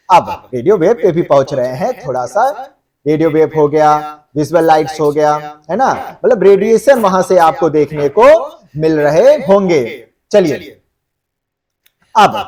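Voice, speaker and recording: A man's voice: a little high, expressive, a little slow, darkish tone, recorded from a distance. A man teaching exam students in an explanatory, conversational lecture, often checking that they follow. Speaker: exam-coaching geography teacher.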